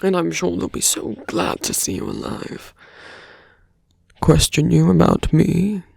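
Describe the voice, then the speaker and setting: Someone acting out a slow, breathy, gravelly seductive villain. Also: medium pitch, wildly swooping pitch, darkish tone, dry recording, full character voice. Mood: taunting, menacing. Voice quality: breathy, often dropping to a whisper. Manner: slow, deliberate, taunting. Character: seductive villain, taunting a wounded hero, persuasive and menacing. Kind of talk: intimate ASMR roleplay acting.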